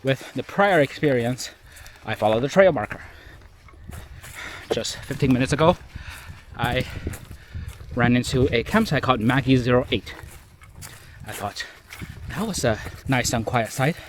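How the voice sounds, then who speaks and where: A man's voice: mid-range voice, expressive, a little slow, pauses often, a little hiss or hum. Tired man vlogging on a rainy trail. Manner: tired, rambling, self-mocking asides. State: nasally, a bit out of breath from hiking. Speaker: solo hiker vlogging. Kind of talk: casual self-recorded trail diary. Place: outdoors on a forest trail in rain. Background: stream and forest ambience.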